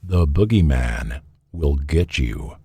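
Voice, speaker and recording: An actor playing a sinister, teasing horror anthology host in an old television bumper. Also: male voice, a bass voice, animated, slow, dark tone, breathy edge, no room sound, shouting, full character voice. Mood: ominous teasing. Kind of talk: 1980s television bumper delivery.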